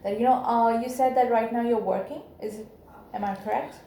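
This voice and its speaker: A woman's voice: fairly high pitch, steady tone, a little fast, creaky, dark tone, a little hiss or hum. A woman acting as a counselor, asking tentative, probing questions. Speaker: counselor in a role-play. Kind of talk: counseling session. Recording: echoey room recording.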